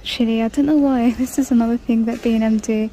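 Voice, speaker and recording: A woman's voice: a little high, fairly even intonation, fast, dark tone, slight background noise, low-quality recording. Chatty woman pricing shop shelves. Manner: chatty, reads out prices. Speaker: shopping vlogger. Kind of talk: casual shop-with-me narration. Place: large indoor discount store. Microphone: handheld phone camera.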